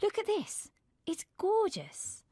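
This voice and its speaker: Woman voicing a sweet, high-pitched, bookish little girl. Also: huge pitch swings, takes it slowly, dark tone, no room sound, studio quality, heavily acted. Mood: gushing. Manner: gushing, earnest. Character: sweet dreamy bookish young girl, easily delighted. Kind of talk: children's storybook character voice.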